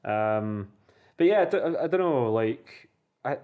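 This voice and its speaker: A man's voice: mid-range voice, lively intonation, a little slow, phone-quality sound. Male co-host, hesitant, rambling, casual. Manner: hesitant, rambling with many fillers. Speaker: podcast co-host. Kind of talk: casual podcast chat.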